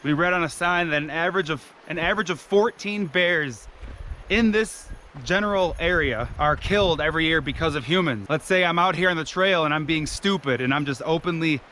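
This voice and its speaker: Young man, loud hiking vlogger, casual swearing, slightly high voice, faint outdoor noise, camera mic. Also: fairly quick. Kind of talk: casual hiking vlog chat. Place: outdoors on a forest trail. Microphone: GoPro camera.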